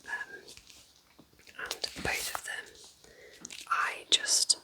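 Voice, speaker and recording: Whispering woman, soft ASMR. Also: very slow, poor audio. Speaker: ASMR host playing a kind witch recommending books.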